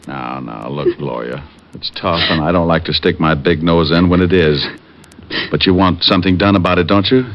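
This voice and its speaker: An actor playing a tough, wry, determined private detective in old-time radio style, with slangy wisecracking delivery. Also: male voice, low voice, normal speed, creaky, soft background music. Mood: gentle but firm. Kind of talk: 1940s-50s radio detective acting. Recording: muffled lo-fi old radio recording.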